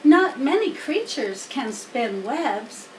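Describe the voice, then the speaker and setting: Woman voicing a brisk, wise, high-pitched lady spider. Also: sing-song, a little mellow, heavily acted. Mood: wry, opinionated. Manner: matter-of-fact, instructive. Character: wise, brisk, plain-spoken female spider. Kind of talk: children's storybook character reading. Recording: roomy, distant mic, faint noise.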